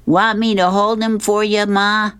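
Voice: mid-range voice, little pitch movement, measured pace, a bit bright, smooth, no room sound, pitched above the performer's own voice, lightly acted. A performer voicing a cheeky, tattling young boy from a comic strip.

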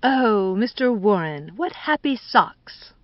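A woman's voice: mid-range voice, sing-song, takes it slowly, no echo, dull audio. Lady doing an arch gushy society wife from a storybook, affected, very dry close sound. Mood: gushing. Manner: exclamatory, affected drawl. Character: arch, gushing society wife mocking the middle class.

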